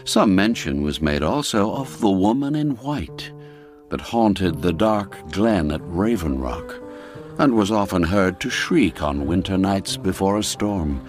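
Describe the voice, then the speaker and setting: A man narrating a classic spooky tale in a measured, expressive storytelling manner. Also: low voice. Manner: measured, expressive storytelling with sweeping rises and falls. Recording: dry studio with faint music.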